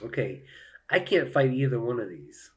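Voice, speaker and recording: A man's voice: mid-range voice, expressive, moderate pace. A man giving casual live commentary on a solo board game, thinking aloud and explaining each move to viewers.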